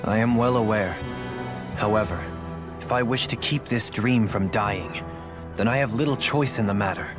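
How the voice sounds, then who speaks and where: An actor voicing a dignified, resolute young lord in a video game, speaking formally and solemnly. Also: male voice, fairly low pitch, moderate pace, close to an everyday voice. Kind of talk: dubbed video game cutscene acting. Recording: loud game music under the voice. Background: loud background music.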